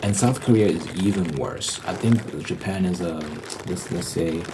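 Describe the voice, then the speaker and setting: A man with a low voice talks in a loose, rambling vlog style. He often repeats phrases to drive them home. There is clear noise behind him. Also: normal speed. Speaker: ASMR vlogger. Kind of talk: casual rambling monologue.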